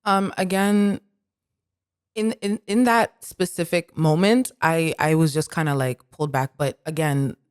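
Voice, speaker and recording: A woman with a very low voice co-hosting a podcast. She talks in an animated, reflective way, full of "like" fillers. Her voice is close-miked and dry, in a quiet room. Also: unhurried, smooth, dry recording. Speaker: podcast co-host. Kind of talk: casual conversation.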